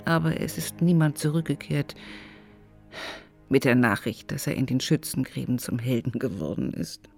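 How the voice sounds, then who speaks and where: A woman's voice: very deep voice, expressive, moderate pace, vocal fry, darkish tone, soft background music, full character voice. An actress playing an older widow, a stern but loving adoptive mother, dry and firm in a naturalistic radio drama. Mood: firm, tender.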